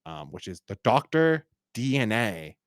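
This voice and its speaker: A man's voice: fairly low pitch, very animated, a little slow, no echo, studio quality. A man hosting a podcast in a casual review discussion, rambling and often repeating words as he feels for the next phrase. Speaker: podcast host.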